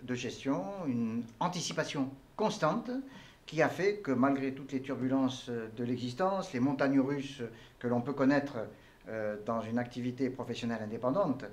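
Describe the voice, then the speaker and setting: Formal, measured older man. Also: medium pitch. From Tunisia. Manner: formal, measured, elaborate phrasing with many hesitations. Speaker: entrepreneur guest. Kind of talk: interview answers.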